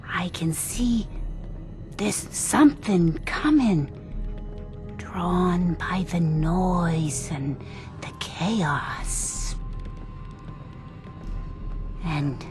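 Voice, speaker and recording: An actress playing a weary, folksy old seer in a video game, with an American accent and a slow, dramatic, breathy delivery. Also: female voice, on the low side. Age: older. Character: weary old psychic woman, folksy and spellbound. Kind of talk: video game character acting. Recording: loud music behind the voice.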